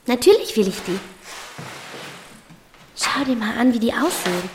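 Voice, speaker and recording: A woman's voice: a little high, sing-song, moderate pace, background noise. A woman playing a brash, defiant thirteen-year-old girl in a radio play, with a pushy, snappy, slangy way of talking. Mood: eager. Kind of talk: German radio play acting.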